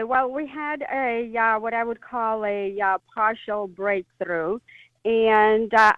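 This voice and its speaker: A woman giving expert analysis as a radio interview guest, deliberate and emphatic, with halting phrasing. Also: mid-range voice, no room sound. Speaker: expert guest, former intelligence officer. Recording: dull, band-limited radio guest line.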